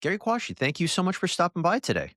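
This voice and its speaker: A man's voice: high-pitched, wildly swooping pitch, fast talker, a bit bright, no room sound, clean studio sound. A man hosting a podcast interview, asking friendly, guiding questions. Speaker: podcast host.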